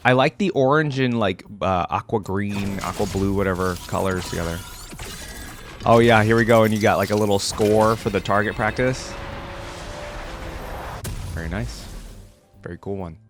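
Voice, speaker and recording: A man's voice: fairly low pitch, moderate pace, long pauses. A man giving casual, off-the-cuff reaction commentary as a video host, musing aloud in slangy talk. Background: music and video game sounds.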